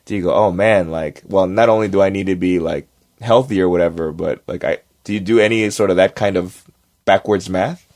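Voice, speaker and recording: Low-voiced guy on a podcast, talks fast and animated, lots of "like"s. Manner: animated storyteller, quick bursts, frequent fillers. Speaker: podcast co-host. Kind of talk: casual chat.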